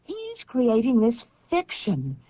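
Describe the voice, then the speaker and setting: An actress playing an anxious career woman convinced of a plot against her, halting and agitated, in radio drama style. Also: a little high, wildly swooping pitch, no room sound, heavily acted. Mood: insistent, agitated. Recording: dull, band-limited old radio recording.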